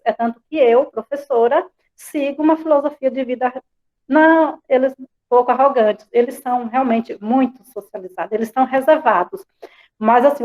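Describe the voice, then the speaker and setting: Bahian woman teacher, fairly high voice, chatty lecture with tag questions, on a video call. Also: muffled recording. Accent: Bahian Portuguese. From Bahia, Brazil. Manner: conversational, frequent tag questions. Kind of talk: online class lecture. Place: home, online class.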